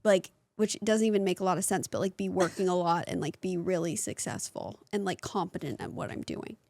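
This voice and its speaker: A woman's voice: on the low side, lively intonation, fairly quick, darkish tone, no echo. A woman in her twenties co-hosting a podcast, chatting casually and saying "like" constantly. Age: 20s. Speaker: podcast co-host. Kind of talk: casual chat.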